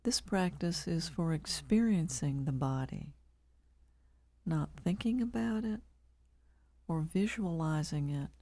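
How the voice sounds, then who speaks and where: A woman leading a guided body scan meditation, calm and measured, leaving long pauses between short phrases. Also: sits low.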